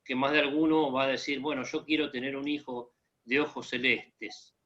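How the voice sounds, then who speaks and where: A man's voice: mid-range voice, little pitch movement, normal speed, a bit bright, lo-fi sound. A man from Argentina with an Argentine accent, talking casually and joking as a guest in an online conversation, with many fillers. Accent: Argentine Spanish. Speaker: guest speaker.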